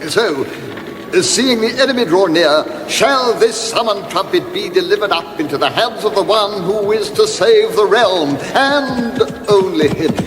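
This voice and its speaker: A doddering, apologetic old wizard from a radio fantasy spoof. The high voice swoops through rambling, distracted instructions, ringing with long reverb over music. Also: male voice, animated, unhurried, background noise. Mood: flustered. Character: doddering, apologetic old male wizard, speaking as recorded vision. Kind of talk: comic fantasy radio drama.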